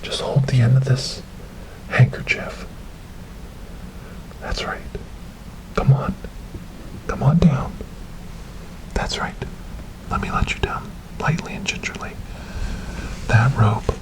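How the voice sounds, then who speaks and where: Slow, whispering, gentle devout rescuer played by a man. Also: mid-range voice, lightly acted, low-quality recording. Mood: gentle coaxing. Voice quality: soft, breathy, whispery. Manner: slow, soothing, reassuring. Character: gentle, devout male rescuer coaxing a lost ghost girl. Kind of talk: ASMR storytelling roleplay.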